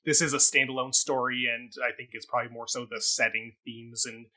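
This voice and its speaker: A man's voice: mid-range voice, flat delivery, brisk, bright, forceful tone. A man co-hosting a theatre review show, talking casually and wordily, qualifying his points as he goes. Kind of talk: casual, discursive review talk.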